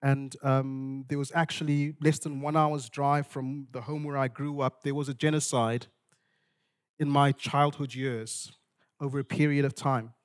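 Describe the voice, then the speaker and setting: A man from Zimbabwe, preaching an expository sermon with storytelling, in deliberate, dramatic phrases that repeat for emphasis. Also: mid-range voice, flat delivery.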